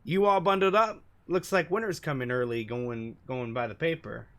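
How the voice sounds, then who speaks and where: A man's voice: medium pitch, moderate pace, natural voice. A man voicing a blunt but caring working woman, brusque and motherly, in visual novel dialogue. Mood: fussing concern.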